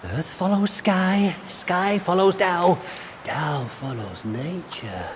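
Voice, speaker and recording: A man playing an excitable, eccentric Taoist alchemist in a video game, giggly and exclamatory with archaic phrasing. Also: sits high, slow, a little hiss or hum. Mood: gleeful.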